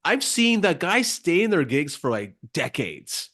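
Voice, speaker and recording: A man's voice: on the high side, very animated, measured pace, fairly bright tone. Casual male podcast host from Canada. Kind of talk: casual interview hosting.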